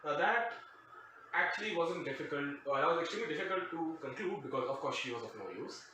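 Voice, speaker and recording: A man's voice: on the high side, lively intonation, fast talker, sharp tone. A man telling a casual comic anecdote in a wry, sarcastic, digressive way. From grew up outside the country where they now live. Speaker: storyteller, host of a storytime show. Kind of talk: casual comedic anecdote storytelling. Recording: very echoey room, distant mic, faint noise.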